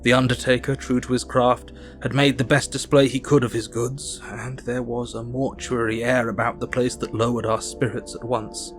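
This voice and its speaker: Doctor, played by a man, audio drama diarist: medium-pitched, measured, literate, sombre. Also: flat delivery, soft background music, lightly acted. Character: sombre, reflective male Victorian doctor narrating his diary. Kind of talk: dramatised diary narration.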